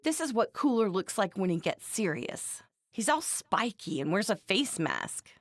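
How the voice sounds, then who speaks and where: A woman's voice: mid-range voice, sing-song, measured pace, dry recording, clean studio sound. An actress voicing a feisty young woman in an anime game, speaking bluntly and expressively with a scornful edge. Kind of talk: scripted game voice lines.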